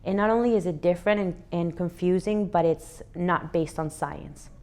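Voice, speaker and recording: Woman, thirties, from Venezuela, interview guest; low voice, reflective, earnest. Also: normal speed, a little mellow. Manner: earnest, reflective, frequent restarts. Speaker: interview guest, athlete and fitness educator. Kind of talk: relaxed interview answers.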